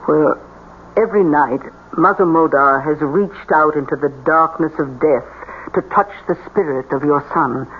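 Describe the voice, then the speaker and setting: A woman's voice: low-pitched, normal speed, natural voice. Woman as a formal seance con artist, tinny radio. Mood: persuasive, grave. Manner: formal, theatrical. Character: smooth-talking, scheming seance assistant running a con. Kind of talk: old-time radio drama acting. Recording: noisy, tinny old radio broadcast.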